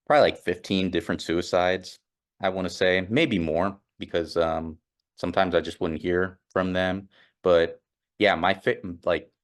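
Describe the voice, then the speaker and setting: A young man with a very low voice tells his story in a loose, offhand way. He rambles, stops and restarts, and fills his sentences with fillers. His voice has a slightly nerdy sound. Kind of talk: casual first-person storytelling.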